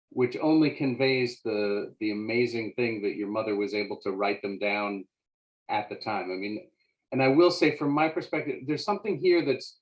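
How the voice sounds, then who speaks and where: A man's voice: medium pitch, rough recording. A man with a mid-range voice interviewing an author. He speaks earnestly and reflectively, often stammering and restarting his sentences. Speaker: interviewer, moderator. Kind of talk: conversational interview.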